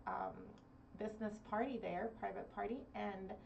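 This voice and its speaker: A woman's voice: medium pitch, huge pitch swings, normal speed, gravelly, slight background noise. A young woman from Argentina, a singer guest, talking earnestly and giggling now and then in a casual interview. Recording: distant dull lo-fi studio mic.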